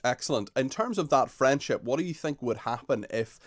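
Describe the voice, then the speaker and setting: A male radio host in a studio, asking questions in a brisk, conversational way. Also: medium pitch, expressive, no room sound. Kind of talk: radio interview.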